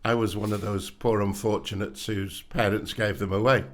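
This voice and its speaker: A man in his seventies from England, a podcast guest telling stories in an unhurried way with dry wit. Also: lowish voice, level tone. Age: 70s. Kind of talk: casual interview chat.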